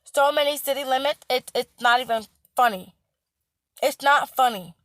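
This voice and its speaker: Hoarse, high-voiced woman ranting bluntly. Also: normal speed, a bit bright, dry recording, studio quality. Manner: blunt, emphatic, repeats herself, swears. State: hoarse, voice cracking. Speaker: healthcare worker. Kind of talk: casual vlog rant.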